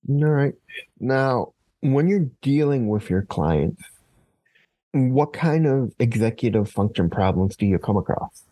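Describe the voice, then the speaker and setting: A man's voice: medium pitch, expressive, unhurried, mellow, no room sound, rough recording. A man interviewing a guest, asking direct, conversational questions.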